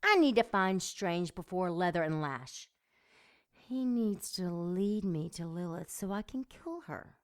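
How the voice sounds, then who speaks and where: A woman's voice: fairly low pitch, lively intonation, measured pace. A woman playing a seductive, domineering demon in an audio drama, with a sultry, mocking delivery. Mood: haughty, scheming. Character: seductive, sadistic female demon, taunting and domineering.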